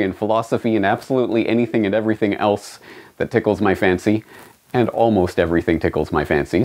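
A man in his forties from Canada, hosting his own online show with a scripted, emphatic monologue and lively hand gestures. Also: lowish voice. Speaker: independent online journalist and host. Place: small home studio indoors, also outdoors on the street. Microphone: studio microphone on a stand beside the camera.

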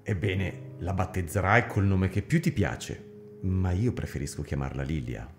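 An actor playing a jealous, cruel young husband in a radio drama, scornful and accusing. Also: male voice, low voice, a little slow, faint music behind. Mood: tender. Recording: echoey community hall.

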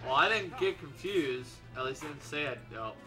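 Animated higher-voiced man bantering over game music. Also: moderate pace. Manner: playful, repeats short phrases. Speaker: let's play co-host and player. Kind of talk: casual gaming banter. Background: Pokemon battle game music.

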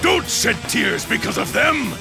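An actor playing a proud, fierce warlord, gravelly and commanding, in a video game drama. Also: male voice, extremely high pitch, big pitch swings, a little slow, shouting, music in the background, heavily acted. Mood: furious. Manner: commanding, boastful. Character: proud, fierce, hot-blooded warlord, devoted protector.

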